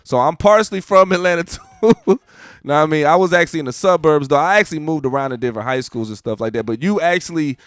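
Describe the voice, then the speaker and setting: A man's voice: a little high, fast talker, clean tone, no echo, studio quality. A man hosting a casual radio interview, talking in a laid-back, slangy way and often repeating his phrases. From Columbus, Ohio. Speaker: radio show host.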